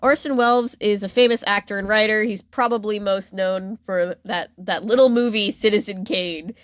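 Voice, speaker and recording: A woman podcast host with a middle-pitched voice, recorded dry without room sound. She talks informally, like chatting with a friend, telling stories from pop culture history with wry, joking asides. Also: steady tone, moderate pace, no room sound. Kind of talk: casual chat retelling pop culture history.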